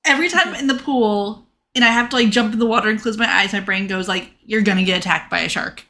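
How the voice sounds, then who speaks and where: A woman's voice: mid-range voice, fast talker, bright, forceful tone. A woman co-hosting a casual podcast with dry, playful humour. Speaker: podcast co-host. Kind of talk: casual banter.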